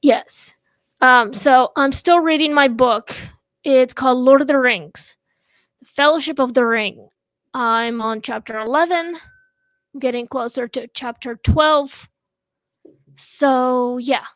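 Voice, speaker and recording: A woman in her thirties from Russia, hosting a casual home chat show and talking in a slow, halting way with many pauses. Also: high-pitched, fairly bright tone, dry recording. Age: 30s. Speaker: host.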